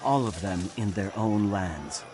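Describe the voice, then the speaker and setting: An actor playing a grave prophet, narrating scripture dramatically in a solemn, declamatory way. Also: male voice, fairly low pitch, unhurried, darkish tone, a little hiss or hum, natural voice. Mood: grave, condemning. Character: grave male prophet proclaiming doom and hope.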